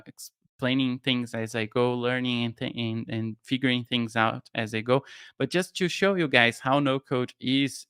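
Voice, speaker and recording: A man's voice: medium pitch, fairly bright tone, clean tone, no room sound, clean studio sound. A young Brazilian man with a Brazilian Portuguese accent, casually talking through a no-code tutorial on a live stream, thinking aloud with plenty of fillers and restarts. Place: home studio room with shelves. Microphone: studio mic on boom arm, headphones.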